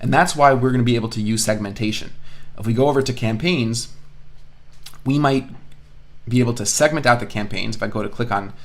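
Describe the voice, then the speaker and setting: A man with a mid-pitched voice teaches an online software tutorial. He explains each step in a conversational way, thinking aloud as he demonstrates. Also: fairly quick, long pauses. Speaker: online marketing instructor. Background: voice-over on a screen recording.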